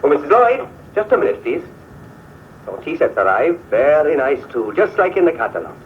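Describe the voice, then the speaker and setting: A man's voice: on the high side, big pitch swings, fairly quick. An actor playing a flustered, blustering male village shopkeeper with a Welsh accent in 1950s film dialogue. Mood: eager. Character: flustered, indignant small-town Welsh shopkeeper. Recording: muffled, distant old film tape, faint hiss.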